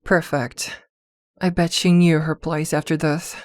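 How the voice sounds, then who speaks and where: A possessive witch girlfriend, acted by a woman in a fantasy audio roleplay. She speaks slowly in a lowish voice, irritable yet protective, mixing sharp scolding with tender care. The sound is dry and close. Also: level tone, dry recording. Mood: jealous, possessive. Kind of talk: ASMR roleplay acting.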